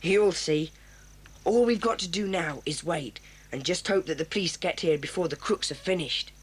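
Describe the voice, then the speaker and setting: A woman's voice: lowish voice, normal speed, breathy edge. A woman voicing a clever, bold schoolboy who leads a children's club, eager and decisive. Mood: determined. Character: clever bold boy leader of a kids' club.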